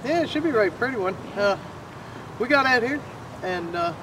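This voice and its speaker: A man's voice: extremely high pitch, lively intonation, brisk, vocal fry, background noise. A middle-aged man talking casually and folksily outdoors as the host of a fishing vlog. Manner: folksy, informal. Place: outdoors on a waterfront fishing pier and bench. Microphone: camera a few metres away. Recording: distant outdoor camera sound under loud music.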